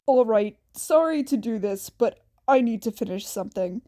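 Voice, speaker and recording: A woman's voice: fairly high pitch, animated, normal speed, warm tone, voice pushed down, natural voice. A woman voicing a blunt, weary young man, a dry big brother in a fan fiction story. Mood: curt.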